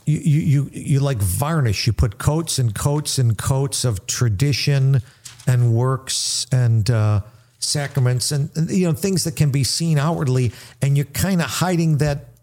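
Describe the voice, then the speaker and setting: Mellow-voiced man with reflective stories. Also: mid-range voice, unhurried. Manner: reflective, tells personal stories to make his point.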